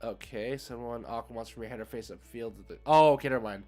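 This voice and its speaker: Male game streamer, average pitch, casual rambling play-by-play, slangy, music underneath. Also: fast, dark tone. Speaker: streamer playing an online card game. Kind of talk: casual live game commentary, thinking aloud. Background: music playing behind the voice.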